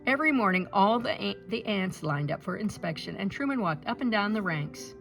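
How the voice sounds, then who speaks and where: Female picture-book reader, expressive and deliberate, with a lively pitch range over background music. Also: creaky. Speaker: storybook reader. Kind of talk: scripted children's picture-book read-aloud.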